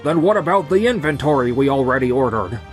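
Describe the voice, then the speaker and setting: A man's voice: mid-range voice, expressive, fast talker, soft background music, natural voice. A man voicing an anxious, hesitant young salesman in a manga dub. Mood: worried.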